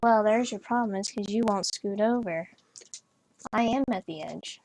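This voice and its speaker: A woman's voice: mid-range voice, normal speed, darkish tone, slight background noise, rough recording. A young woman chatting casually while playing a horse game, rambling with lots of fillers and restarts. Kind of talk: casual gameplay chat. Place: bedroom, on a bed.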